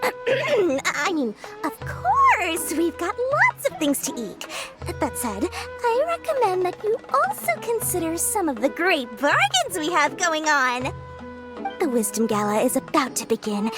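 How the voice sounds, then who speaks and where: A woman's voice: extremely high pitch, exaggerated voice. A woman voicing a small, greedy, gleeful girlish merchant in a video game, full of exclamatory sales patter. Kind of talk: animated video game voice acting.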